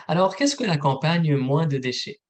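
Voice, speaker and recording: A man, a program manager, presenting a recorded informational webinar in a steady, methodical read. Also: a little high, moderate pace.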